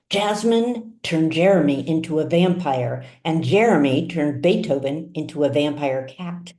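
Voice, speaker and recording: A woman narrates a story in a low, mellow voice. She reads very slowly and deliberately, pausing for drama like an audiobook storyteller. The recording is noticeably roomy, with echo around the voice. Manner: measured, deliberate phrasing with dramatic pauses. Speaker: author reading her own serialized novel.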